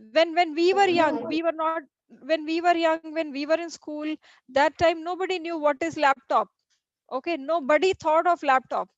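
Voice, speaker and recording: A woman's voice: extremely high pitch, no room sound. A middle-aged woman teacher with an Indian English accent, native Hindi speaker, lecturing a class and often restarting and repeating her phrases. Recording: dull lo-fi dry recording.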